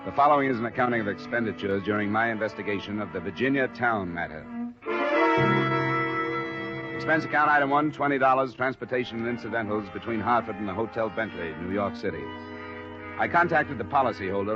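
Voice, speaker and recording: A man acting as a hard-boiled American insurance investigator from a golden-age radio drama. His voice is low and his delivery clipped and businesslike. The dull vintage recording has music clearly underneath. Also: lightly acted. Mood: dry, businesslike. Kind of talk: 1940s-50s American radio detective drama acting and narration.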